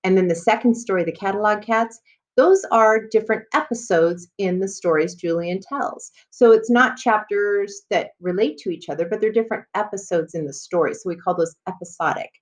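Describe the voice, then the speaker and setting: A woman's voice: medium pitch, normal speed. A woman elementary school teacher leading a read-aloud lesson for children, explaining and addressing her listeners as she goes.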